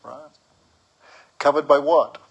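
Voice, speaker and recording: A man teaching a spiritual class in short didactic phrases, listing points one by one and checking with brief questions, leaving pauses for an interpreter. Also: on the high side, fairly even intonation, gravelly, husky, dull audio. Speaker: religious teacher.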